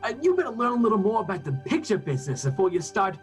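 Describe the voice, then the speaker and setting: A woman's voice: medium pitch, sing-song, quick pace, faint music behind, close to an everyday voice. A woman playing an outspoken, defensive film crew member in a 1940s radio drama. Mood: defiant.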